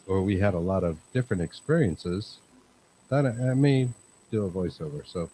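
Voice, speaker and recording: Male host with a lowish, calm voice; unhurried and rambling, with plenty of fillers and slight background hiss. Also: low-quality recording. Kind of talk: casual livestream chat.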